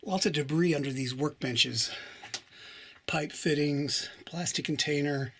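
A man's voice: a little high, unhurried, fairly bright tone, slight background noise. A man casually narrating as he explores an abandoned mine and desert, thinking aloud with hesitant, musing remarks. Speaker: explorer vlogger.

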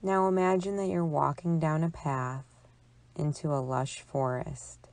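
A woman with a low voice guides a relaxation meditation at a very slow pace. Her delivery is calm and soothing, measured out with long pauses. The recording is dry and close, with little room sound. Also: dry recording. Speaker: psychologist leading guided imagery.

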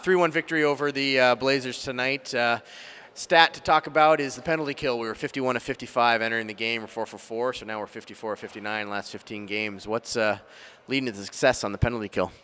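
A man's voice: mid-range voice, fairly even intonation, fast, pushed and bright, smooth. A middle-aged man putting postgame questions as a sports reporter into a handheld microphone. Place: indoors before a sponsor backdrop at an arena.

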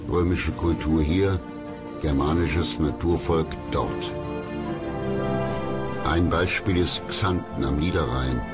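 A man narrating a documentary from a script in a solemn, dramatic storytelling manner. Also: a bass voice. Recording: music loud behind the voice. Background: loud background music.

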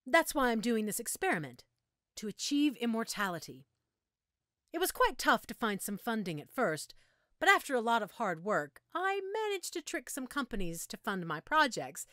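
A woman's voice: on the high side, big pitch swings, normal speed, fairly bright tone, no room sound, clean studio sound. A woman playing a menacing, gloating female mad scientist in an audio roleplay, with a theatrical, taunting manner. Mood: fervent, proud. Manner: mocking, theatrical, taunting endearments. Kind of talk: audio roleplay acting addressed to listener.

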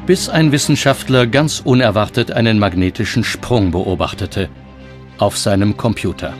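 A man's voice: on the low side, normal speed. A man reading scripted documentary narration as the narrator. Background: background music.

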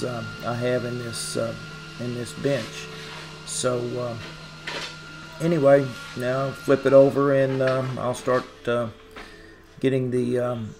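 A man's voice: medium pitch, gravelly. A middle-aged man casually narrating his welding work, rambling with lots of uhs and ums. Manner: rambling, many uhs and ums, plain-spoken. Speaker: hobby welder and channel host. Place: large open garage workshop. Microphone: camera mic at a distance, close selfie at start. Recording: workshop noise behind, distant mic.

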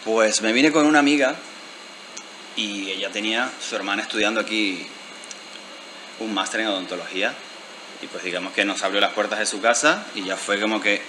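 Soft-spoken man, thoughtful. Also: lowish voice, background noise. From Venezuela. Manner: thoughtful, hesitant, many restarts. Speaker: guest artist. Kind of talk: interview. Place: lounge bar indoors. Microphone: handheld mic.

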